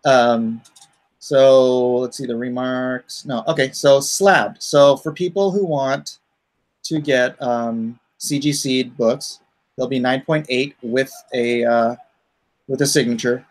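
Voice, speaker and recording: A man's voice: mid-range voice, fairly even intonation, takes it slowly. A man hosting a casual livestream as a comic creator, rambling and thinking aloud as he talks through his campaign.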